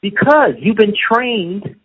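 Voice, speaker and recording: A man's voice: sits high, expressive, slow pace, slightly rough. A middle-aged man talking in a casual conversation, emphatic and often asking if the listener follows him. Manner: emphatic, keeps asking "you see what I'm saying". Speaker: guest. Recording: muffled lo-fi audio.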